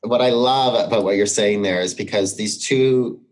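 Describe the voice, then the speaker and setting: A man's voice: on the low side, moderate pace. A man speaking reflectively as a podcast guest, thinking aloud and searching for his words in a conversational discussion.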